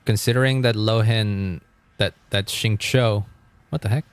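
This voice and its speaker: A man's voice: fairly low pitch, steady tone, unhurried, clean tone, dry recording. A man live-streaming a game, chatting casually and thinking aloud in an informal way, with casual swearing. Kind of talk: casual live-stream chat while gaming.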